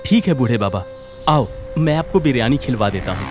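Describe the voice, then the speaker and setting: A man voicing a kind, hardworking village husband in a cartoon moral story, with an expressive, exclamatory delivery. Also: mid-range voice, fairly quick, music in the background, lightly acted.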